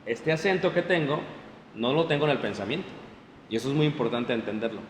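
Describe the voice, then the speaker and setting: A man preaching a lively sermon to his congregation, often stopping to check they follow. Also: medium pitch, fairly quick. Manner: animated, addresses the congregation directly with frequent checks. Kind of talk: sermon, Bible class. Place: church hall. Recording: echoey hall, faint room noise.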